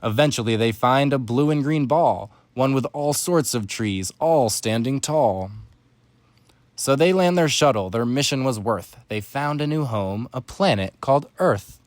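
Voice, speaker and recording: A man's voice: medium pitch, expressive, a little slow, dry recording. A man narrating a rhyming children's story as a scripted read-aloud.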